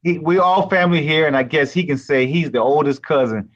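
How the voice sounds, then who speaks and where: A man coach and host talking casually and haltingly, with many fillers and restarts. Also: a little high. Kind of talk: casual live-stream introduction. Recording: muffled lo-fi sound.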